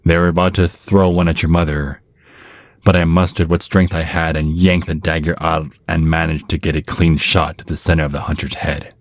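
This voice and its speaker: A man's voice: rumbling low, level tone, normal speed, no echo. A man playing a grumpy, protective centuries-old vampire bodyguard in an intimate ASMR roleplay, speaking in an earnest, brooding way. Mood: somber, reminiscing.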